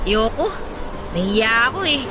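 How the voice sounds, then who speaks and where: A woman's voice: a little high, animated, unhurried, very noisy. A woman with a Filipino accent playing a feisty, playful young band singer in a radio drama. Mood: shy, casual. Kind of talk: Tagalog radio drama dialogue. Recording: loud music bed under the voice.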